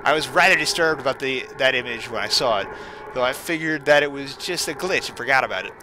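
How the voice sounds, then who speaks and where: A man's voice: medium pitch, animated, fast talker, noisy background. A man hosting a gaming stream, mixing casual commentary and mock dramatic reading with sarcastic, self-deprecating asides.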